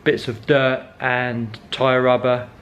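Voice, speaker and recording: A man's voice: mid-range voice, flat delivery, takes it slowly, fairly bright tone, highs cut off, slight background noise. A young man chatting casually in a car vlog, rambling with many ums and correcting himself as he goes. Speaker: car vlogger.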